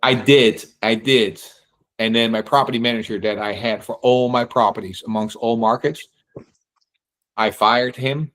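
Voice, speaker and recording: A middle-aged man from the Netherlands with a Dutch accent, answering questions at a real estate meetup in an animated way, acting out quotes and often asking "right?". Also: mid-range voice, measured pace. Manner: animated, acts out quotes, frequent restarts and "right?". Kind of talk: casual Q&A talk.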